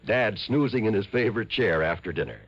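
Man for mid-century radio sponsor spot: emphatic, animated selling delivery, close dry sound with muffled old broadcast tone. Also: fairly low pitch, fairly quick, breathy edge, no echo. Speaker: announcer. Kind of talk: vintage radio commercial read. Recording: dull vintage radio recording.

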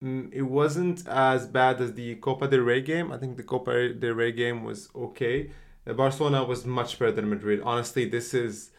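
A man co-hosting a football podcast, talking casually with lots of fillers and restarts. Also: medium pitch. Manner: chatty, many fillers and restarts. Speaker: podcast co-host.

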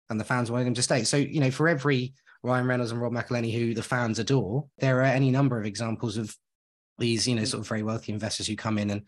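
A man co-hosting a podcast in casual conversation, enthusiastic and rambling, speaking straight to his listeners. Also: lowish voice. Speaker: podcast co-host.

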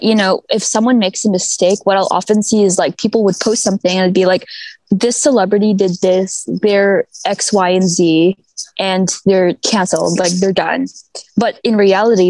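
A woman's voice: mid-range voice, steady tone, a little mellow, no room sound, rough recording. A young woman speaking as an activist guest in a casual video-call interview, chatty and upbeat with lots of fillers. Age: young adult. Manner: chatty and upbeat, quick laughs, many fillers. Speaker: mental health activist, guest. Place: outdoors at a school.

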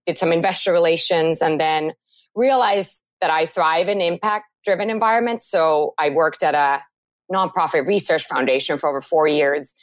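A woman's voice: sits low, no room sound. A woman, telling her story as an interview guest in a friendly, fluent way. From Finland. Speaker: guest, startup co-founder. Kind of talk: casual interview chat.

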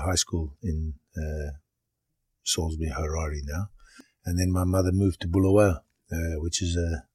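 A man's voice: low voice, mellow, husky, no room sound. An older man who grew up in Zimbabwe, a goalkeeper coach answering interview questions and explaining his training step by step. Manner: explains step by step, plain and practical.

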